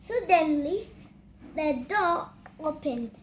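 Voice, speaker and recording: High-pitched childlike voice, very slow pace, halting read-aloud, children's fairy tale storytelling. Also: animated, slight background noise. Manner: halting, repeats and corrects words while reading.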